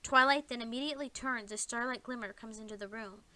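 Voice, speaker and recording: A woman storyteller with a fairly high, bright and forceful voice. She reads scripted narration dramatically, in the manner of an audio play. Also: fast talker, no room sound.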